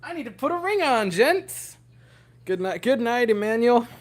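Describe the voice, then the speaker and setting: Hoarse young man chatting to his stream. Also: very high voice, very animated, normal speed. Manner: giddy, rambling, talking to chat. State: hoarse, voice worn out. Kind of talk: casual livestream chat.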